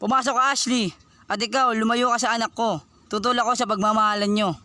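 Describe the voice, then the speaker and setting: Guy playing strict protective dad in a student radio drama, pretty high voice. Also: steady tone, brisk, bright, forceful tone, dry recording. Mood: angry. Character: stern, protective father disapproving of daughter's boyfriend.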